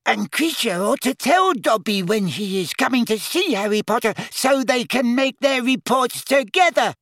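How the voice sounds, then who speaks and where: A man voicing a squeaky, anxious, loyal male house elf. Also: lively intonation, normal speed, a bit bright, dry recording, studio quality, exaggerated voice. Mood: earnest. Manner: earnest, eager to please.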